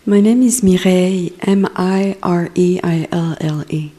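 A woman's voice: lowish voice, unhurried, warm tone. A woman introducing herself in a group, talking in a hesitant, chatty way. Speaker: participant.

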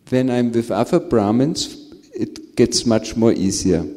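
A man sharing a spiritual experience on a panel, speaking slowly and searching for words with soft laughs. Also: mid-range voice. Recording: reverberant hall.

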